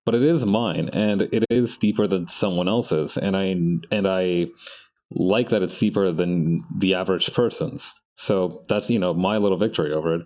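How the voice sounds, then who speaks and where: A man's voice: low voice, normal speed, a little mellow. A man streaming his art, chatting casually and rambling to himself as he works, often restarting his sentences. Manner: rambling, self-correcting, talks to himself as he works. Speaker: artist streaming his work. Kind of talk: casual live-stream chat while drawing.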